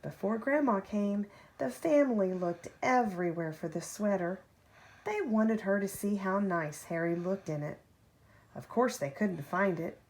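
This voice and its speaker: A woman reading a children's picture book aloud like a parent at bedtime, with expressive, deliberate phrasing and drawn-out key words. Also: medium pitch. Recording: home recording with faint background noise.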